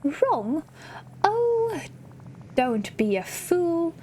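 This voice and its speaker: A woman's voice: very high voice, very animated, extremely slow, warm tone, slight background noise, voice pushed up, exaggerated voice. A woman voicing a manipulative villainess who coaxes a young protégée in a theatrical, condescending manner, as in a game story. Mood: smug, dismissive.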